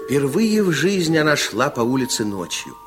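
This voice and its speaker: A man's voice: medium pitch, big pitch swings, normal speed, over loud music, close to an everyday voice. A man playing a kindly, playful storyteller in a children's radio play, speaking in a confiding way. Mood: hushed, suspenseful. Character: kindly fairy-tale narrator, playful and confiding.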